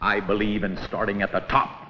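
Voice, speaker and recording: An actor playing a forceful, blustery American president and reformer in an educational film re-enactment, speaking in an emphatic, oratorical way. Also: male voice, lowish voice, little pitch movement, normal speed, natural voice. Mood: firm. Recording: muffled old 16mm film, reverberant room.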